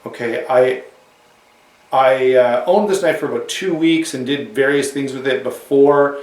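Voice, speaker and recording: A man with a cold and a slightly hoarse voice, casually reviewing a knife to camera, rambling with restarts and asides. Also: mid-range voice, a little slow, echoey room, distant mic, rough recording.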